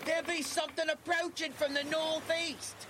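An actor playing a bossy ship's first mate in an audio drama, with salty, urgent shipboard calls. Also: male voice, extremely high pitch, flat delivery, normal speed, sharp tone, slight background noise. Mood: alarmed. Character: bossy, alarmed male first mate on sailing ship.

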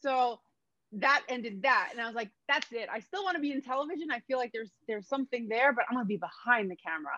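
A woman's voice: fairly high pitch, expressive, quick pace, sharp tone, highs cut off, a little hiss or hum. Chatty woman guest from New Jersey. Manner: chatty, laughs easily, acts out her past self in quotes. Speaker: guest, nutrition coach. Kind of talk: casual interview chat.

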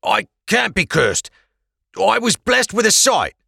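A man doing a shrill, pleading jailed merchant. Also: very animated, slow, creaky, sharp tone, husky, no room sound, studio quality, exaggerated voice. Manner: high, strained and pleading. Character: frightened, pleading male merchant prisoner.

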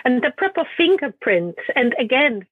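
Woman moderator, polish native, husky, hesitant, thin phone-like call audio. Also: high voice, sing-song, normal speed, dry recording. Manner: warm, hesitant, thinking aloud. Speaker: panel moderator. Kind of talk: casual chat. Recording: dry, phone-like thin sound.

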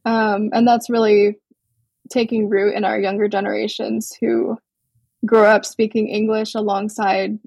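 Woman from Hawaii, young adult, husky and gravelly, flat even tone, dry close sound. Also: medium pitch, measured pace, no echo. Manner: relaxed, thoughtful, many ums and restarts. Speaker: guest artist. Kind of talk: casual interview over a call.

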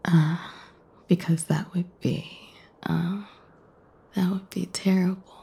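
Romantic radio play lead: actress playing a wry, teasing woman, deep for a female voice, creaky and unhurried. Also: steady tone, mellow, exaggerated voice. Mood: flirtatious, coy. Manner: casual, teasing, hesitant. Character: wry, self-deprecating woman shop owner, flirtatious. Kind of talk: intimate audio romance acting.

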